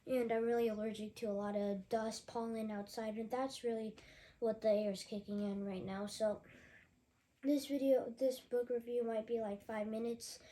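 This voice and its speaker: A young woman with a sore, hoarse throat from allergies, giving a casual, rambling book review with many fillers and restarts. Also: a little high, little pitch movement, moderate pace, dark tone.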